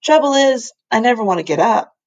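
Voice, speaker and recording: A woman's voice: on the high side, expressive, brisk, a little mellow, dull audio, voice pushed up. A woman voicing an eager, earnest young boy with simple, childlike phrasing. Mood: cheerful.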